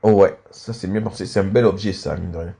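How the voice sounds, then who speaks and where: Mellow, low-voiced man commentating, slightly congested. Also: quick pace. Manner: frequent throat clearing and coughing. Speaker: commentator and video host. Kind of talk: casual match commentary of a video game.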